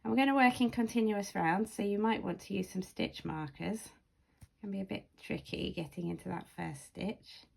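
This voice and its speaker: A woman with a slightly croaky voice giving casual, step-by-step craft instruction as a tutorial host, speaking carefully and clearly into a built-in microphone. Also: mid-range voice, moderate pace, darkish tone, poor audio. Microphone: camera or phone's built-in microphone, no external mic.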